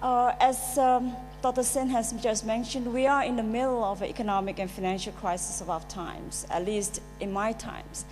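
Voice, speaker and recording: A woman's voice: a little high, steady tone, normal speed, hardly any pauses, darkish tone. A woman from Singapore, a finance professor, opening her panel remarks hesitantly with frequent fillers. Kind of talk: panel talk to an audience. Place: lecture hall. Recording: reverberant hall with faint music.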